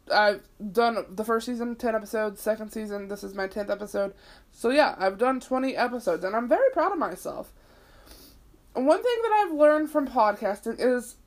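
A woman in her twenties hosting her own podcast, rambling casually, swearing freely and leaning on "like," with a sniffly, itchy throat. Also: on the high side. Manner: chatty, swears freely, lots of "like". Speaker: podcast host.

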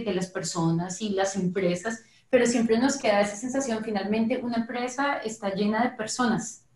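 A woman hosting a business podcast interview, talking casually and often restarting her phrases, who says her Spanish has slipped because of Portuguese. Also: fairly high pitch. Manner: warm-hearted, repeats herself, restarts phrases. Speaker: podcast host. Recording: echoey room.

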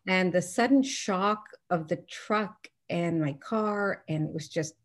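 A woman's voice: lowish voice, slow pace. A middle-aged woman from Newfoundland, a physician and author, talking earnestly and reflectively as a guest in an interview, with frequent restarts. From Newfoundland, Canada. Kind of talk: interview conversation.

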